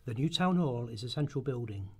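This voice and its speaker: Narrator: man, medium pitch, a bit rough-edged, formal essay-like script reading. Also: expressive, brisk. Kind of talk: read scripted narration in rehearsal.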